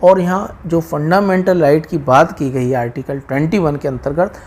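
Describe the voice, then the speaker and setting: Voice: mid-range voice, moderate pace, a little mellow. A speaker with an Indian accent explaining law informally to viewers, repeating points and mixing in English legal terms.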